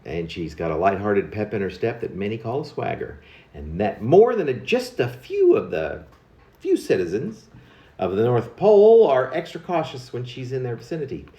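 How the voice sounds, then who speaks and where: A man's voice: mid-range voice, huge pitch swings, moderate pace, dark tone, reverberant. A man reciting his own humorous narrative poem in a wry, confiding way, like a storyteller passing on gossip. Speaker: poet reading own work.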